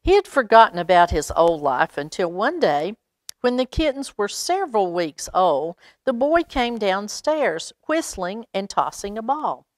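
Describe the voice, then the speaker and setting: A woman reads a children's picture book aloud, adding expressive, chatty asides for young listeners. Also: mid-range voice, a little slow, dry recording. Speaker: library storytime reader.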